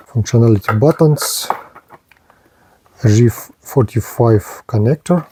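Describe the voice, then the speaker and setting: A man giving a hands-on gadget demonstration as a reviewer, speaking in short, halting, item-by-item phrases. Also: mid-range voice, mellow, a little hiss or hum. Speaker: product reviewer, presenter.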